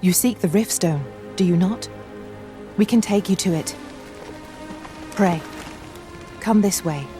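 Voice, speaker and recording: A courteous, reverent pawn guide in a fantasy game. Her voice sits slightly low and is formal, archaic and deferential, with music playing behind. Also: slow, long pauses. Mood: reverent, calm.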